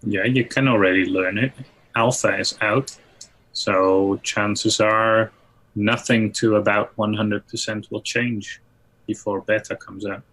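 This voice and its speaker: A man's voice: lowish voice, fairly even intonation, takes it slowly, a bit bright, low-quality recording. A man in a casual technical discussion on a group call, stating his opinions in a matter-of-fact way. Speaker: web software expert on a livestream.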